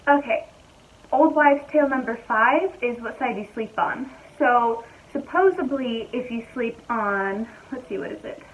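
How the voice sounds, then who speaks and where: Slightly raspy, chatty young woman. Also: fairly high pitch, measured pace, lo-fi sound, slight background noise. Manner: chatty, easygoing, thinks aloud with fillers. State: hoarse. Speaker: vlogger. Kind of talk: casual chat to camera. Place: at home, indoors.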